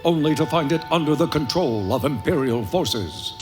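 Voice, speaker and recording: Man as high, dramatic adventure narrator. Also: lively intonation, moderate pace, close to an everyday voice. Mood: urgent, dramatic. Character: urgent, dramatic male serial narrator. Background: music.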